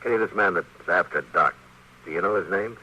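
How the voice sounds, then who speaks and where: An American man acting a steady, commanding, dry-humored lawman in a terse 1950s Western radio drama style, on a muffled old-time recording. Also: low voice, brisk, husky, heavily acted. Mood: probing.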